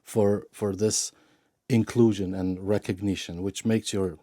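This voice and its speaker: A man speaks in a very low, dark and mellow voice, recorded dry and close. He answers thoughtfully as an interview guest, stumbling and restarting his sentences often. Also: fairly even intonation, no echo. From Iran. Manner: halting, many restarts and fillers. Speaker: media analyst, interview guest.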